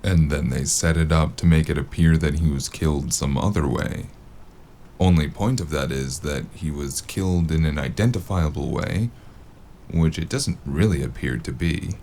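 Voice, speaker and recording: An actor playing a weary, sardonic noir investigator in an audio drama, gravelly and dryly deadpan. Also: male voice, very deep voice, unhurried. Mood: dry, focused. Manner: dry, understated deadpan.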